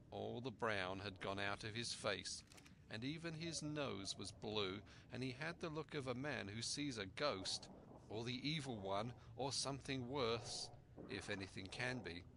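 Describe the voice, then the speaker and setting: A man reading a story aloud as an expressive narrator. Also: mid-range voice, unhurried, gravelly, a little hiss or hum. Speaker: storyteller, audiobook reader.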